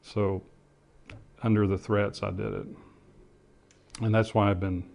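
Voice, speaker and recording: Man, middle-aged, lower voice, reflective, stop-and-start explaining, interview recollection. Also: flat delivery, measured pace, vocal fry, darkish tone. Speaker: interviewee, former air traffic controller and pilot.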